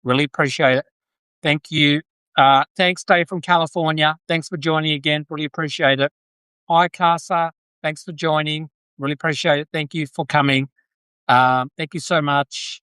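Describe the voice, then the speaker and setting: A man's voice: fairly high pitch, fairly even intonation, sharp tone, clean tone, no room sound, poor audio. Australian man commentating, close dry sound. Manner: halting, repeats and restates phrases, breaks off to greet viewers. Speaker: podcast host, political commentator. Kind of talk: casual live-stream political commentary. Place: Brisbane, Australia.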